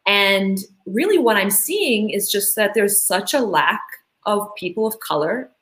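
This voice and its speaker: A woman from Tanzania, interviewed as a school board candidate. She talks eagerly and chattily, stringing thoughts together with "like" and "you know". Her voice sits at a middle pitch. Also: animated, unhurried, fairly bright tone. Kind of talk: casual interview answers.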